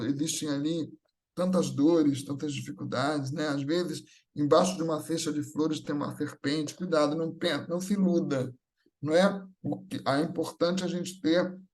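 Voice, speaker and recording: Man, Brazilian accent, somewhat high pitch, informal religious talk, conversational, anecdotal, frequent tag questions. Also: darkish tone. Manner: conversational, often seeking agreement with short tag questions; acts out everyday dialogue in anecdotes. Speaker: speaker at a Spiritist gathering.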